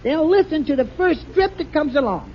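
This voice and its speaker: An actor playing a meek, dim-witted middle-aged husband, hesitant and stammering, in old-time radio comedy. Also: male voice, very high-pitched, animated, fairly quick. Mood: flustered. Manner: flustered, stammering, nervous chuckles, hesitant. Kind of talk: 1940s radio comedy acting. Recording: dull, noisy vintage radio, audience behind.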